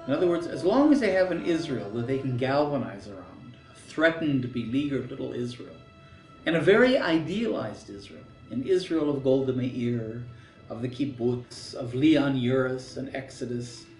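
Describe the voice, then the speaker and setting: A man's voice: medium pitch, animated, normal speed. Emphatic guy talking in a roomy space, a bit far from the mic. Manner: critical, emphatic, rises sharply when mimicking others. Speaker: interviewee. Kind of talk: documentary interview. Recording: roomy, distant mic with soft music.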